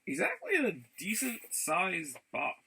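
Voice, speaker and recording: A man rambling casually as a vlogger, enthusiastic about his hobbies, often halting, repeating words and backtracking. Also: sits high, wildly swooping pitch, heavy background noise. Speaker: vlogger showing game and figure pickups. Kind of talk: casual rambling vlog chat.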